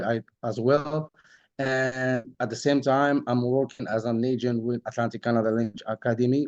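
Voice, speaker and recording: A man's voice: medium pitch, a little mellow, no room sound. Brief: a man, casual and laughing easily, on muffled lo-fi call audio. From Morocco. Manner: casual, laughing, many "like" fillers. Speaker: project manager. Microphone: video call.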